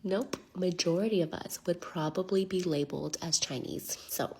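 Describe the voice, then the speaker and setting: Low, husky woman asking pointed questions. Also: normal speed, a little mellow. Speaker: commentator.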